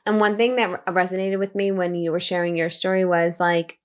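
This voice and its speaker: A woman's voice: lowish voice, flat delivery, a little fast. A woman hosting a podcast, chatting casually and backing up her guest with short affirmations. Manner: supportive listener, frequent short affirmations. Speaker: podcast host. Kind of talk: casual conversation.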